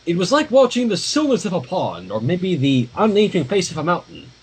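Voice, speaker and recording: A man's voice: sits high, sing-song, a little fast, breathy edge, low-quality recording. A man reading a story aloud informally on a livestream, dropping in casual asides. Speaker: livestream fan fiction reader.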